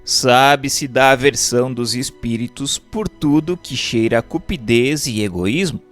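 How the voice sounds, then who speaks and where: A Brazilian man narrates a formal written text in a mid-range voice. His reading is measured and scripted, like a chapter of a treatise read aloud. Music plays behind him. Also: mid-range voice. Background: background music.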